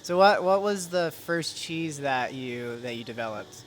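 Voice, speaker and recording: A man hosting a casual interview as a vegan chef, easygoing and quick to laugh. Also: mid-range voice, lively intonation, crowd noise. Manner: easygoing, laughs often, short affirmations.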